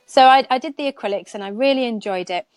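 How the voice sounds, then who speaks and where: A woman's voice: a little high, fast talker, smooth, dry recording. A woman in her thirties, a nail technician chatting casually as a guest and rambling with laughs. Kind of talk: casual live chat.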